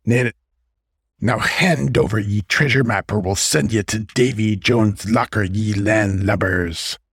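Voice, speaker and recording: A man's voice: fairly low pitch, a bit bright, breathy edge, dry recording, studio quality, full character voice. Man voicing a swaggering little pirate captain. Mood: mock menace. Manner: theatrical pirate talk, drawn-out words. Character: small red panda playing a swaggering pirate captain.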